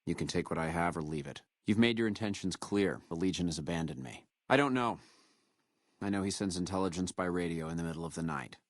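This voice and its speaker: An actor playing a proud, menacing captured military officer in a video game, with a measured, contemptuous delivery. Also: male voice, low voice, no echo, studio quality. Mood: defiant, contemptuous. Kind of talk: video game character dialogue.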